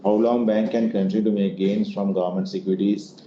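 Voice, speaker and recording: A man's voice: lowish voice, little pitch movement, fairly quick. A man answering investor questions as an executive leading an earnings call, in a semi-formal way. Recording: muffled, echoey room with noise.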